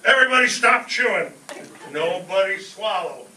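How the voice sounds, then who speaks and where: A man playing a blustering, excitable family father with folksy bad grammar in an old-time radio comedy. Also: high voice, moderate pace, heavily acted. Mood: alarmed. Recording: roomy, distant stage pickup with faint noise.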